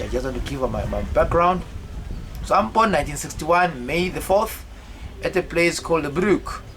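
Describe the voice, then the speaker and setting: A South African man in his fifties telling his life story in a rambling way, halting often with fillers and repeated words. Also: medium pitch, low-quality recording. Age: 50s. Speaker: autobiographical vlogger.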